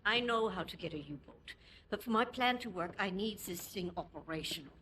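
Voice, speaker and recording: An actress playing a decisive, businesslike resistance leader in a video game, with a firm, commanding delivery. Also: female voice, medium pitch, lively intonation, a little fast, bright, forceful tone, slight background noise. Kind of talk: video game drama acting.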